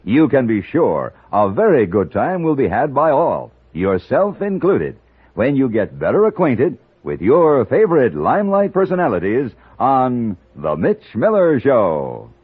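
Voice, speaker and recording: A man's voice: medium pitch, huge pitch swings, a little slow, husky. A man reading a scripted 1950s network radio promo as a polished, emphatic announcer. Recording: tinny, narrow old radio broadcast.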